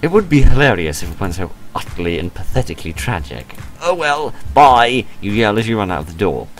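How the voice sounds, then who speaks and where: British man, low-pitched voice, lively intonation, let's play narration, wry asides, music in the background. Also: fast talker. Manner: expressive, deadpan sarcastic asides. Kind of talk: reading a visual novel aloud with wry asides. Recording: music behind the voice. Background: game music.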